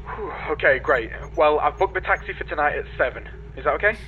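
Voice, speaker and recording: A man's voice: on the high side, animated, brisk, pushed and bright. A man playing an anxious, needy boyfriend asking probing questions over a call in a comedy audio drama. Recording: dull call link, faint noise.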